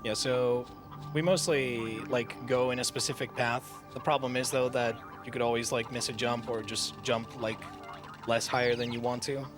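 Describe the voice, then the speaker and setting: A man's voice: mid-range voice, steady tone, moderate pace. A man giving casual, conversational live commentary on a speedrun at a gaming marathon. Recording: game music behind the voice.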